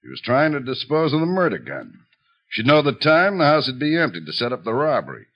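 An actor playing a folksy, steady small-town police chief in a 1950s radio drama. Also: male voice, medium pitch, brisk. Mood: steady, businesslike. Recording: dull lo-fi 1950s radio recording.

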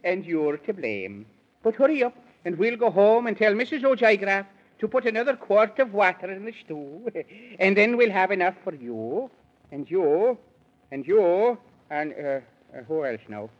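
A man's voice: high voice, sing-song, a little slow, exaggerated voice. An actor voicing a whimsical, fretful lion king in a 1930s children's radio play, with a dithering, theatrical delivery. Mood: overjoyed. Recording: muffled vintage 1930s radio recording.

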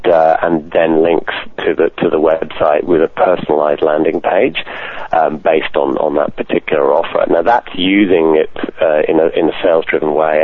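A man's voice: a bass voice, dry recording, narrow, tinny audio. A man from Ireland with a posh British-sounding accent, a direct marketer speaking as a guest in a podcast interview, hesitant with many ums and restarts.

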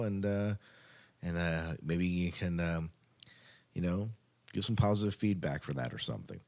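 Low-voiced man, casual podcast co-host. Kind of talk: casual chat.

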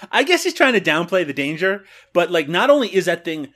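Canadian male podcast co-host, animated delivery, laughs easily, casual recap. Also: high voice, normal speed. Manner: laughs often, recaps scenes. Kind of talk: casual movie review chat.

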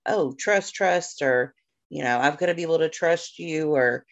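A woman's voice: low voice, normal speed. Chatty woman co-host on muffled audio. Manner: agreeable, chatty, with fillers. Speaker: podcast co-host. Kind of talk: casual podcast chat.

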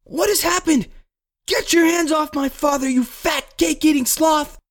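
A man's voice: very high-pitched, unhurried, pitched above the performer's own voice. A man voicing a distraught, fiercely loyal young son in a storybook, crying out urgently and emotionally. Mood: indignant, alarmed.

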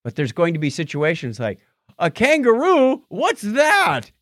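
A man's voice: high-pitched, measured pace, no echo. A man co-hosts a casual podcast, cracking jokes and tossing in playful asides. His voice rises and falls a lot as he talks. The sound is close and dry. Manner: joking, lively, playful asides. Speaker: podcast co-host. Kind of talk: casual banter.

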